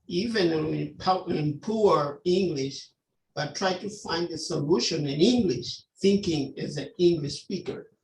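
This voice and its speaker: A man from Angola with an Angolan Portuguese accent, giving earnest advice in halting, emphatic non-native English. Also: on the high side, vocal fry, a little mellow, slight background noise. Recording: roomy, echoing call audio.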